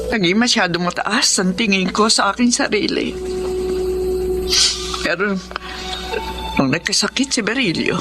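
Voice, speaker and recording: A gravelly older woman, acted by a woman in a radio drama, humbled and full of remorse. She speaks earnestly and reflectively, with music playing behind her. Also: a little high.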